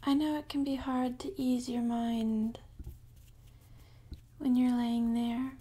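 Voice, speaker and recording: A woman speaking softly and half-whispering in a gentle, soothing, unhurried way, as an ASMR guide leading a sleep meditation. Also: on the high side, level tone. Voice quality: soft, breathy, half-whispered.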